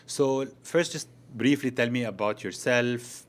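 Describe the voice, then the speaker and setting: A man's voice: medium pitch, unhurried. A middle-aged man speaking conversationally as a doctor hosting a podcast interview.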